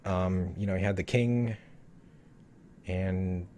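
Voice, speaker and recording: A man with a lowish voice reviewing an episode in a loose, unscripted way. He rambles, circles back, and fills his pauses with lots of hedges. His voice sounds a bit worn, as if he is losing it. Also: steady tone, darkish tone, a little hiss or hum. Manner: rambling, many fillers and hedges. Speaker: show reviewer. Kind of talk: casual solo review talk.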